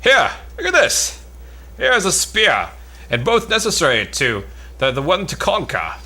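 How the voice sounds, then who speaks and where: A man's voice: on the high side, pushed and bright, full character voice. A man playing a boastful, bombastic mock-warrior knight in a pompous, declamatory amateur reading of an old stage play.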